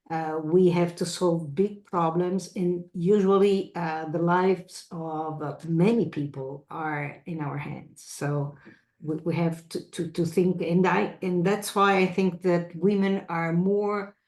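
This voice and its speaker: Middle-aged woman on a video call, low voice, slow, lots of uhs, echoey room. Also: darkish tone. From Brazil. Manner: halting, many fillers and restarts. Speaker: restructuring lawyer on a panel. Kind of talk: panel discussion on a video call.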